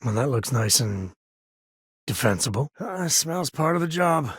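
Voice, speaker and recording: A man playing a middle-aged space ranger in a video game, wry, folksy and good-hearted, with a husky, gravelly voice. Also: medium pitch, lively intonation, measured pace, no room sound, studio quality. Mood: wry, casual. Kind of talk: video game voice acting, conversational.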